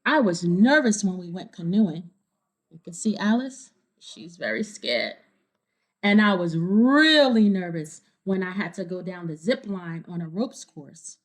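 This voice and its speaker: Female storybook reader for kids, slow, expressive pitch, explanatory asides. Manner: explanatory, checks in with listeners. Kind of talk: read-aloud storytelling with asides to young listeners.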